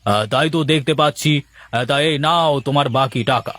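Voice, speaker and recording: A man voicing a hearty, approving village merchant in a cartoon, talking in a brisk, patronising manner. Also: fairly high pitch, a bit bright, dry recording, close to an everyday voice. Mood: satisfied, businesslike. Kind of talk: cartoon voice acting.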